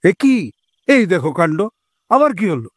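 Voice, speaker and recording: A man's voice: high voice, sing-song, a little slow, no echo, full character voice. An actor playing a boastful, jokey, protective middle-aged father in an audio story, theatrical and teasing. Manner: theatrical, teasing, exclamatory with colloquial banter. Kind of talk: Bengali audio story acting.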